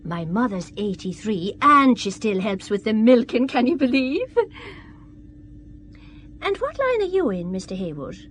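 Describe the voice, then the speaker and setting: A woman's voice: on the high side, very animated, a little slow. An actress playing a chatty, friendly middle-aged Irish countrywoman in an audio drama. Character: chatty middle-aged Irish mother and weaver travelling abroad.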